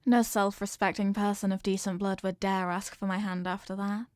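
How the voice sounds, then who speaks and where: An evil queen, played by a woman in a scripted audio roleplay, speaks with formal, measured authority. She is possessive and obsessively in love, with menace veiled under courtly manners. The sound is dry, with very little room in it. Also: medium pitch, little pitch movement, darkish tone, no room sound, close to an everyday voice. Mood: calm, commanding. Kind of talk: scripted roleplay monologue, ASMR audio drama.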